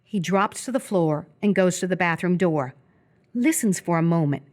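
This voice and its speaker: A woman narrates a live radio play from a script. Her voice is on the low side as she reads out stage directions in the present tense. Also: expressive, normal speed, darkish tone.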